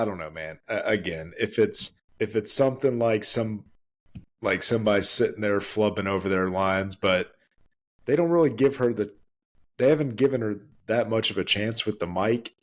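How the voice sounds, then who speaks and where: A man co-hosting a podcast in casual chat, emphatic and stumbling over restarts as he rants. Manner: emphatic, full of restarts and stammers; swings up high when worked up. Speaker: podcast co-host.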